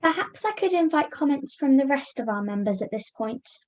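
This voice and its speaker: A woman acts a puppet in charge of a committee in a comedy show. The voice is high, the manner measured, courteous and formal. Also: animated, a little mellow. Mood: businesslike. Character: polite, orderly puppet chairing a meeting. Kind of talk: scripted puppet comedy dialogue.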